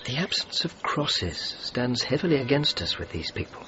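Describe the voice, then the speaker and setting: An actor playing an earnest, dutiful British general with an English accent and a measured, formal, diplomatic way of speaking in a radio drama. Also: male voice, medium pitch, very animated, natural voice. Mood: concerned, resolute. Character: earnest, dutiful British general defending his war graves commission. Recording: dull, noisy radio drama recording.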